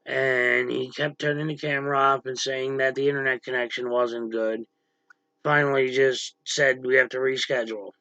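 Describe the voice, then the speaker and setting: Gravelly man snapping at his livestream chat. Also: mid-range voice, little pitch movement, normal speed, bright, forceful tone, no echo, muffled recording. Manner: blunt, irritated, snaps at chatters. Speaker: livestream host. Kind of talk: casual livestream chat reading viewer comments. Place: dim room at home, power out.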